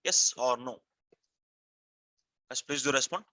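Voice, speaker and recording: Indian male online instructor, mid-pitched and bright, dry recording, emphatic and repetitive, checks in with learners often. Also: slow, no echo. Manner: repetitive, prompting listeners with frequent questions. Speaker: software testing instructor. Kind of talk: live online lecture, checking in with students.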